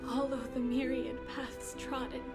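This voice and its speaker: A woman playing a solemn, gentle young heroine in a video game, speaking in a measured, tender way over music. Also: high-pitched, flat delivery.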